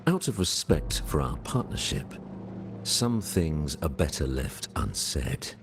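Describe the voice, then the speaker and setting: A stern fantasy game ruler, with an extremely low voice. He speaks slowly and gravely, with commanding swings of intonation. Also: very animated, background noise, heavily acted. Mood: cold, suspicious. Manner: grave, imperious, measured. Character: stern, commanding older lord obsessed with order. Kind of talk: game voice acting.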